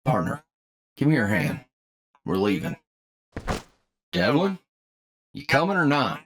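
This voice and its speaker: Slow-drawling gruff crew leader acted by a man. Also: mid-range voice, sing-song, fairly bright tone, a little hiss or hum. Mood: urgent, commanding. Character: gruff, wary male leader of a scavenging crew. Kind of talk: anime audio roleplay acting.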